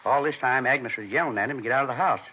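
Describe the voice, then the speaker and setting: An actor playing a shaken, indignant man of fifty-two in a vintage radio drama, with an American accent and halting, earnest, plain speech. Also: mid-range voice, close to an everyday voice. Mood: distressed, bitter. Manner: halting, earnest, plain-spoken, repeats himself. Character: shaken, indignant fifty-two-year-old husband, a robbery victim. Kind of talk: 1950s radio acting. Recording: muffled, noisy old radio recording.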